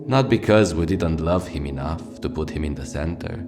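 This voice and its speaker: Deep-voiced, brooding radio host played by a man. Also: normal speed. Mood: wry, reverent. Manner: theatrical, poetic, profane. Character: brooding, world-weary male radio host of a wretched surreal city. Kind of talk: scripted fiction radio monologue. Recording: music behind the voice. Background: loud music.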